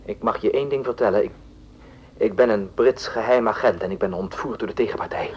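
An actor playing an urgent, pleading male captive delivering a warning in a radio drama, speaking deliberately. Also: on the low side, a little mellow, breathy edge. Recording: dull telephone scene, noisy old recording.

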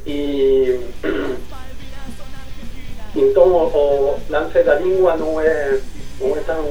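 A man born in Brazil and raised in Argentina, a musician guest speaking haltingly with many repeated words in a casual chat over an internet call. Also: medium pitch, warm tone, roomy sound, highs cut off. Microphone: computer mic over internet call.

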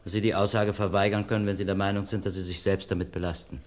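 A man playing an authoritative presiding judge in a radio crime play, formal, measured and commanding. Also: sits low, flat delivery, lightly acted. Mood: formal, official.

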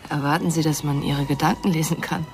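Probing, sympathetic, hurt woman, lower pitch, radio crime drama, faint music underneath. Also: fairly even intonation, brisk. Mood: questioning. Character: probing, wounded woman left behind, earnest and sympathetic.

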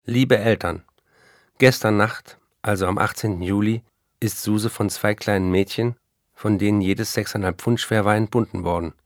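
Actor as gravelly, low-voiced restless writer. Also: male voice, normal speed, no room sound, clean studio sound, natural voice. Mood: earnest, composed. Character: addicted male writer, restless, narrating his life and letters. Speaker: actor in a radio play. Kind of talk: literary radio drama monologue.

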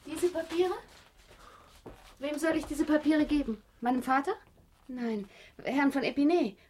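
Tender anxious girl in love, very slow, highish voice, radio drama. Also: a little mellow, slight background noise. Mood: anxious, hesitant. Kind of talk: German radio drama acting.

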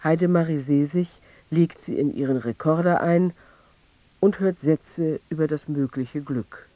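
An actor playing a quiet, detached storyteller, narrating a radio play in a measured, understated way. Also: male voice, fairly high pitch, no room sound, natural voice. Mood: quiet melancholy.

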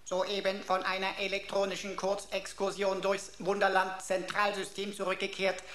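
An actress in a German radio play playing a pompous, sarcastic pocket computer with florid, mock-formal, theatrical delivery. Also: female voice, medium pitch, monotone, moderate pace, a bit bright, roomy sound, close to an everyday voice. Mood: pompous, triumphant. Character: pompous, emotional talking pocket computer, a sarcastic sleuth sidekick.

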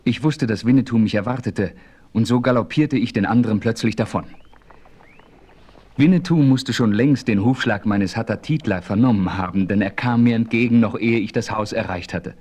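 An actor playing a solemn frontier hero who narrates his adventure in a measured, formal audio drama style. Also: lowish voice, a little mellow, natural voice. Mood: solemn narration.

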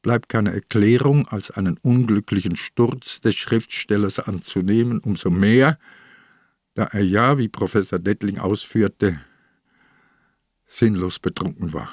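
An older actor in a radio crime drama playing an old, bedridden police inspector, grave and blunt. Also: male voice, on the low side, measured pace, darkish tone, husky, no room sound, studio quality. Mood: grave reading.

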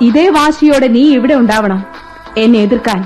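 A woman acting as a defiant woman claiming the house, taunting and threatening in a voice pitched a little high. Music plays clearly behind her throughout this old radio play. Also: normal speed, a little mellow, natural voice. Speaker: radio drama actor.

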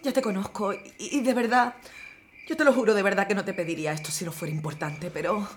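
A woman's voice: mid-range voice, fast talker, lightly acted. A woman playing an earnest, tender-hearted woman in an audio drama, emotional and imploring. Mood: pleading. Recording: clear background noise, outdoor ambience.

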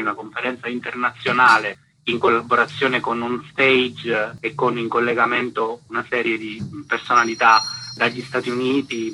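Very bright male interview guest. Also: medium pitch, a little hiss or hum, rough recording. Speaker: interview guest, theatre festival artistic director. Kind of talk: interview answers explaining a project.

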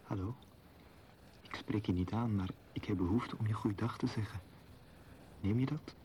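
A tender, searching man played by an actor speaks in a low, mellow voice. His delivery is intimate and lyrical, as in a poetic radio drama with faint noise. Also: normal speed, close to an everyday voice. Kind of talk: poetic Dutch radio play acting.

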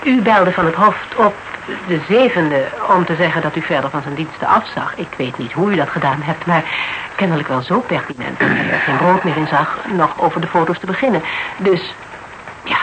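Through clear background noise of an old radio play comes an actor as a courteous legal adviser. The phrasing is formal and circumspect, with careful hesitations. The figure stays deferential while pressing on with probing questions, in a medium-pitched voice. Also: fairly quick, natural voice. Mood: matter-of-fact, persistent. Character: polite, probing legal adviser, tactfully insistent. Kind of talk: Dutch radio drama acting, dialogue. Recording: noisy old radio broadcast recording.

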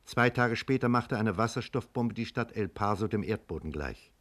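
An actor playing a measured scientist who narrates a past catastrophe in formal German radio drama style. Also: male voice, fairly low pitch, lively intonation, a bit bright, a little hiss or hum. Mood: grave, sober. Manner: sober, formal, literary delivery.

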